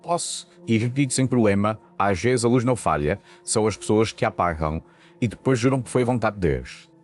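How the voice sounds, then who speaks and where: A man's voice: on the low side, animated, fairly quick, close to an everyday voice. A man playing a weary, cryptic old lighthouse keeper in a ghost story, with European Portuguese speech and a solemn, foreboding manner. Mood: solemn warning. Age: older. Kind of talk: audio ghost story acting.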